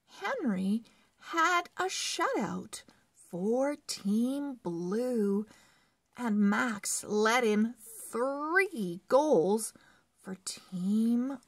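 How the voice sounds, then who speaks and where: A woman reads a children's story aloud at a very slow, careful pace. Her voice is breathy, with some creak at the edges. She places each phrase deliberately for young readers following along. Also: medium pitch, dry recording. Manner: deliberate, word-by-word phrasing with animated emphasis. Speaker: children's book read-along narrator. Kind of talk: slow expressive read-aloud for early readers.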